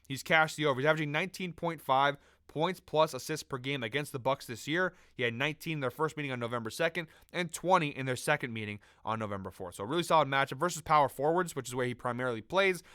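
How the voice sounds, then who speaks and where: A young man, sick and losing his voice, running through a fast sports betting breakdown in a brisk, number-heavy way with quick fillers and self-corrections. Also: medium pitch, bright, forceful tone, clean tone, dry recording, studio quality.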